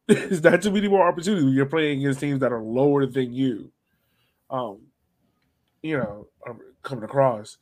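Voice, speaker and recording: Man, medium pitch, podcast host, casual conversational sports talk.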